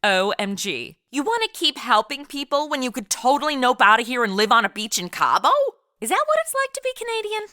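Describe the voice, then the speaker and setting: A woman's voice: high voice, sing-song, a little fast, sharp tone, no room sound, studio quality, heavily acted. A woman playing a sarcastic modern woman out of place in the 1890s, quipping in slang in a comedy audio drama.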